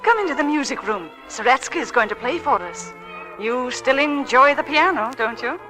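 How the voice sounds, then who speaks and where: An actress playing a brisk, gracious aristocratic hostess in a radio drama, arch and sociable. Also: female voice, sits high, expressive, low-quality recording. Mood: teasing, brisk. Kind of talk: 1940s radio drama acting. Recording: old radio recording, music behind.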